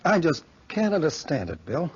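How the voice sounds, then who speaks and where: A man's voice: fairly high pitch, wildly swooping pitch, normal speed, a little mellow, slightly rough, heavily acted. An actor in a 1940s radio drama playing an earnest, puzzled young college man. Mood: puzzled, hurt. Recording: muffled 1940s radio recording.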